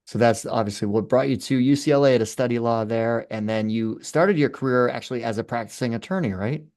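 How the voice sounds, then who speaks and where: A man's voice: mid-range voice, brisk, darkish tone. A man hosting a podcast, asking conversational questions. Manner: conversational, curious. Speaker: podcast host. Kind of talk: interview questions.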